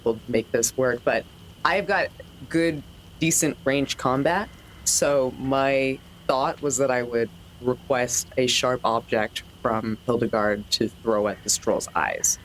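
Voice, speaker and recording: A woman's voice: a bass voice, takes it slowly, poor audio. A woman playing a tabletop role-playing game, chatting casually about her moves. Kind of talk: casual chat. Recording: faint background noise.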